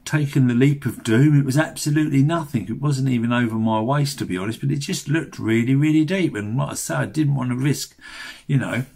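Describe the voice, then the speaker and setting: A man's voice: mid-range voice, a little fast, creaky, darkish tone, breathy edge. An English man in his sixties narrating a fishing vlog in a chatty, rambling, colloquial way. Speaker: fishing vlogger.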